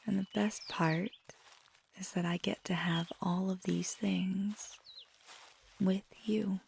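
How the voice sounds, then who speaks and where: A woman playing a feisty, affectionate magical girlfriend in an intimate ASMR roleplay, speaking softly and tenderly, often near a whisper. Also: medium pitch, fairly even intonation, slow, vocal fry, slight background noise. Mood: warm, affectionate. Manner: tender, teasing, lapsing into whispers.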